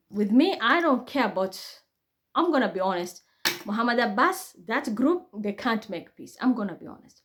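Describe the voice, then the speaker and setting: A woman with a Kenyan accent giving a blunt, heated monologue, swearing freely and building to outbursts. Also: a little high. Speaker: online commentator. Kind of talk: impassioned monologue.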